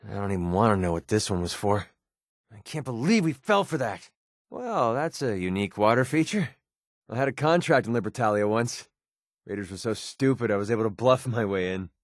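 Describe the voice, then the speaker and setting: A male actor plays a young mercenary companion in a video game, cynical and quick to wisecrack. His voice sits at a medium pitch with a colloquial, sardonic edge that leaves room for a softer side. The recording is dry and free of room sound. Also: no echo, lightly acted. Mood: amused, cautious, then amused. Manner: wry, sarcastic, colloquial delivery.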